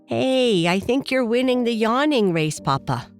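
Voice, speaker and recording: A woman's voice: on the high side, lively intonation, measured pace, faint music behind, pitched above the performer's own voice. A woman voicing a curious, eager little girl bear cub in a children's bedtime story, chatty and childlike. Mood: cheerful.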